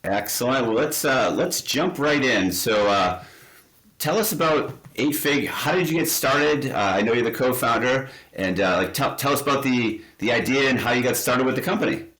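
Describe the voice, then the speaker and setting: A man's voice: medium pitch, animated, normal speed, a bit bright, reverberant. A man hosting a business interview, asking casual questions with many fillers. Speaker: interview host.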